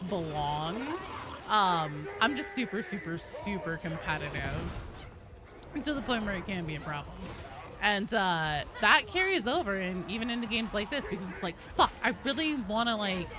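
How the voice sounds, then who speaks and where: A woman livestreaming a game, chatting casually and candidly with her viewers in an informal way, with the odd swear word. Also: lowish voice. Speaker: game streamer. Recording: clear background noise.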